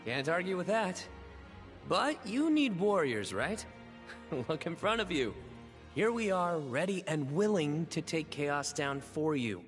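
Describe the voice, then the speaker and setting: A man's voice: fairly high pitch, big pitch swings, unhurried, soft background music. A man playing a cocky, easygoing young warrior with a breezy, confident manner in a video game cutscene.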